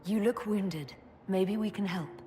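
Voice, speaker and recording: An actress playing an earnest, compassionate heroine in a video game, sincere and reassuring. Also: female voice, medium pitch, unhurried, a crowd in the background, lightly acted. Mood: concerned. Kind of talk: dramatic video game cutscene acting. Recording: echoey hall, faint noise.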